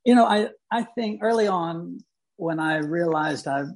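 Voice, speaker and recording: Guy from mississippi, gravelly voice, slow thoughtful interview answers. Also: high voice, darkish tone. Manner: thoughtful, searching, many pauses and restarts. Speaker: guest artist. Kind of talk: reflective interview talk.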